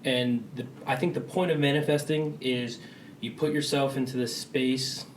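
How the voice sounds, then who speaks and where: A man's voice: medium pitch, fairly even intonation, normal speed, reverberant, far from the mic, a little hiss or hum, poor audio. A young man on a casual podcast, explaining his views at length. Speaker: podcast co-host. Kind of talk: casual chat.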